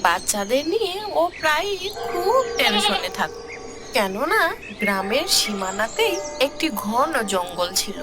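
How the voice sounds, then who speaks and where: A woman tells a children's story as narrator, with a fairly high voice and a very animated melody. She takes her time, and music plays behind her.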